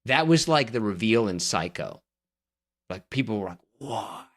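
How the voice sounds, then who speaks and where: A man's voice: on the low side, expressive, a little slow, fairly bright tone. A man co-hosting a casual movie podcast, bantering in an opinionated, emphatic way and needling his co-host.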